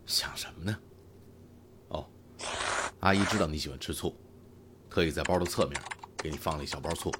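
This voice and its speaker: An actor playing a weary, caring young man who works at an observatory in an audio drama, low-key and gruffly brotherly. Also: fairly quick, pauses often. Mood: gently chiding. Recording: noisy track with keyboard clicks.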